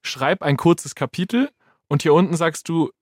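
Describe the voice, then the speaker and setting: A man's voice: fairly high pitch, moderate pace, no room sound, studio quality. A man explaining things casually as an expert guest on a podcast, thinking aloud as he gives hands-on advice.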